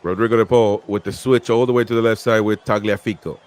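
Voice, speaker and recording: A man's voice: fairly low pitch, fairly even intonation, fast talker, darkish tone. Chatty man hosting a live football stream. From Peru. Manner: chatty, reads viewer comments aloud. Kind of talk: casual live match commentary.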